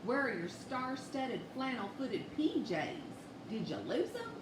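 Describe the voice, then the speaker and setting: Woman putting on a storybook bully bear, older, mocking, boisterous, raised pitch, drawn-out words, noisy recording. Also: big pitch swings, echoey room, distant mic. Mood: jeering. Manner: taunting, drawn-out delivery.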